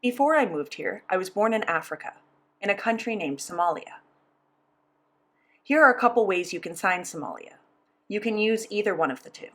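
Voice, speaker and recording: A woman voicing a sign language interview as interpreter, speaking in a measured, steady first-person delivery. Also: mid-range voice.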